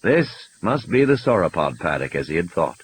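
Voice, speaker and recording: A man's voice: low voice, sing-song, normal speed, slightly rough. A man reading scripted fiction as an audiobook narrator, in a dramatic storytelling style, with music and sound effects behind him.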